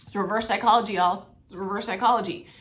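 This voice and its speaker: A woman teacher explaining a story in a casual, animated, colloquial way full of slang. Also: mid-range voice, fast talker. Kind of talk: casual lesson explaining a story read aloud.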